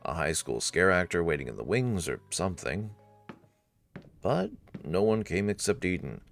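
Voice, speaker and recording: A man's voice: deep voice, very animated, normal speed, vocal fry, fairly bright tone, faint music behind. A man narrating a visual novel story, slipping in wry, informal asides as commentary.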